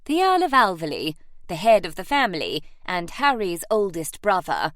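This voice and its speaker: A woman's voice: lowish voice, sing-song, moderate pace, fairly bright tone, dry recording, clean studio sound. A woman voicing an imperious, airy older aristocratic English aunt in an audiobook, emphatic and grandly dismissive. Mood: pointed, tart. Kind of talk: audiobook character acting.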